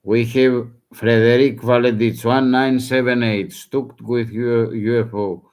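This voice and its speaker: A man whose first language is Greek, speaking broken English in a halting, urgent warning with many restarts. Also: medium pitch, flat delivery, a little mellow. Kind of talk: halting self-recorded warning.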